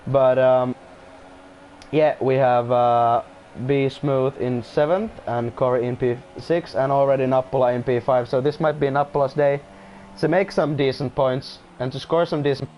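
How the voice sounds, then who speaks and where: A man's voice: medium pitch, fairly even intonation, normal speed, highs cut off, slight background noise. A man who is not a native English speaker commentating casually on an online race, often restarting sentences and apologizing. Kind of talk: casual live sim-racing commentary.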